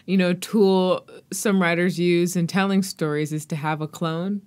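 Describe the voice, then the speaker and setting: A woman with a notably low voice speaks as an interviewer and host. Her delivery is conversational, in the manner of a literary discussion. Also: little pitch movement, a little slow, a little mellow, smooth.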